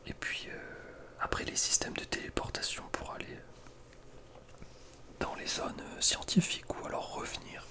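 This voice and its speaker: A young man whispering casual ASMR gaming commentary in an informal, slangy, hesitant way. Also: normal speed. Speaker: ASMR video creator.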